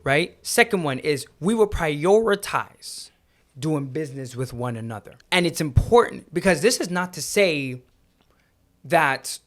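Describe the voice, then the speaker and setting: A man with a fairly high voice for a man co-hosts a podcast conversation. He explains his ideas earnestly and lays them out step by step. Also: lively intonation, measured pace, fairly bright tone. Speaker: podcast co-host.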